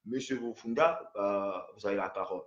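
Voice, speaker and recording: A man's voice: fairly low pitch, moderate pace. A man hosting a phone interview, talking with many hesitations and restarts. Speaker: host. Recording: roomy, dull lo-fi sound.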